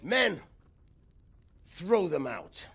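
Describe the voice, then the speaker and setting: A man's voice: on the high side, big pitch swings, very slow, a bit bright, slight background noise, exaggerated voice. An actor, a man, playing an irate, threatening man of authority in a video game cutscene. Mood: furious, threatening.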